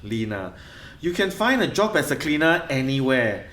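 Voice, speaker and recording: An actor with a Singaporean accent playing a harried, cutting nightclub boss short of money in a radio play, grumbling and sarcastic. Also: male voice, mid-range voice, very animated, moderate pace, a bit bright, reverberant. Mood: gloomy, grumbling.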